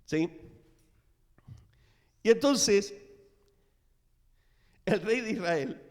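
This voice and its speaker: A middle-aged man preaching a sermon in a church, telling the story with dramatic swings in loudness. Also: high voice, expressive, very slow. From Latin America. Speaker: pastor. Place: church sanctuary. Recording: reverberant church hall.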